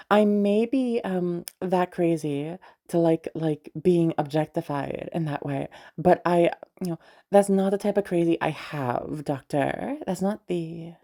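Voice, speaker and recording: Audio roleplay: actress as teasing crazy female patient, gravelly fry, soft dark tone, halting and rambling. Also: on the low side, full character voice. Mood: teasing, flirtatious. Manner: hesitant, rambling, many pauses and hums. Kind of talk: roleplay audio drama, intimate one-sided conversation.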